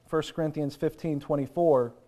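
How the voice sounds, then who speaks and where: A man preaching a sermon, sounding congested and nasal from a sinus infection, slowing to stress key phrases. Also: on the high side, fairly even intonation, dark tone.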